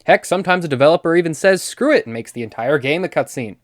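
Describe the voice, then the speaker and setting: A man's voice: fairly high pitch, expressive, fast. A man hosting a video essay, delivering scripted commentary in a conversational way with wry asides. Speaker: host.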